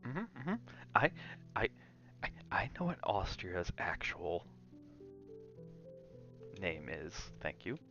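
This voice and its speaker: Deep-voiced man streaming, slow and low. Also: sing-song, sharp tone, dull audio, soft background music. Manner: rambling, drifting between chat and game reactions. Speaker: game streamer. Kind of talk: casual ASMR gaming livestream chat.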